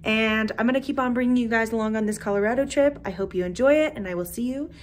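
A woman's voice: fairly high pitch, fast talker, a little hiss or hum. Cheerful young woman vlogging her trip. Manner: cheerful, chatty, excitable. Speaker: travel vlogger. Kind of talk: casual travel vlog narration. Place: outdoors in snow, in a car, hotel room. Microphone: phone held at arm's length. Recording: music playing under the voice. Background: background music.

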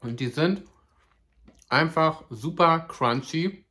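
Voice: medium pitch, expressive, takes it slowly, pushed and bright. A food vlogger giving a casual, upbeat snack review to camera, chatty and playful, joking about their own pronunciation. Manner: chatty and upbeat, addresses viewers as "ihr Lieben".

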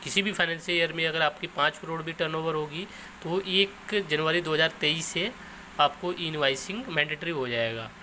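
Male explainer host, slightly high pitch, informal step-by-step delivery, faint background noise. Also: normal speed, sharp tone, low-quality recording.

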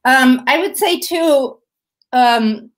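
A woman's voice: high-pitched, drawn-out words. A middle-aged woman leading an online writing workshop, talking in a chatty way with many fillers and restarts, over a webcam. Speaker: writing instructor. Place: room at home. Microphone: webcam video call.

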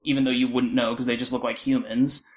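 A man talking casually as a panel guest, halting and peppering his speech with filler words. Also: mid-range voice, flat delivery, a little mellow. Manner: halting, filler-heavy, frequent "like". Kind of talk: casual discussion.

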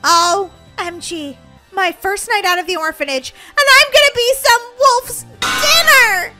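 A woman's voice: very high-pitched, unhurried, bright, forceful tone, faint music behind, full character voice. A woman voicing a nervous, dramatic little orphan girl in a children's game roleplay, exclaiming and whining. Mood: panicked. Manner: breathless exclaiming, whiny stammering. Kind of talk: children's Roblox roleplay story.

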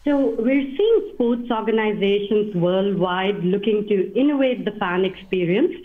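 A woman scientist, a guest in an interview, answering in a measured, slightly halting way. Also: mid-range voice. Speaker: guest, machine learning scientist. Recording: tinny phone-like line.

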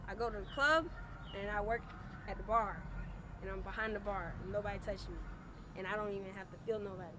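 A soft-voiced young woman in her twenties chats casually in an interview, leaning on laid-back slang and filler tags. She is recorded outdoors by a parked car. Clear street noise hums behind her. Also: mid-range voice, quick pace. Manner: soft-spoken, laid-back slang, frequent "you know what I'm saying". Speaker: rapper.

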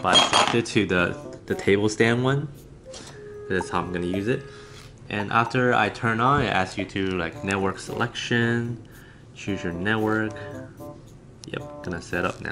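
Low-voiced man in an echoey room. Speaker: vlogger unboxing and reviewing a gadget. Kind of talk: casual unscripted product unboxing. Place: small home dining room, indoors. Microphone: camera on the table or at arm's length. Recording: echoey room, music in the background.

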